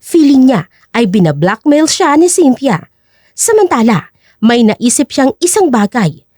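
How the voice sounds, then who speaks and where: A woman's voice: sits high, very animated, a little slow, a little mellow, no echo, studio quality. A woman narrating an audio drama in a dramatic scripted storytelling style.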